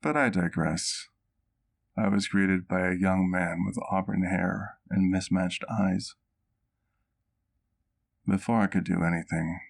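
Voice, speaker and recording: A scholarly male vampire acted by a man with a very low, gravelly voice. He speaks in formal, measured, genteel phrases, as a caring doctor and keeper talking closely to the listener in an ASMR roleplay. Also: heavily acted. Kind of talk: ASMR roleplay, intimate one-sided dialogue to the listener.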